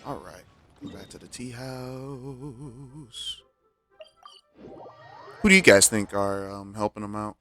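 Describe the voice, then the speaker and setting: A man streaming a game, reading its text aloud and commenting casually with halting, rambling asides. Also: mid-range voice, lively intonation, pushed and bright, a little hiss or hum.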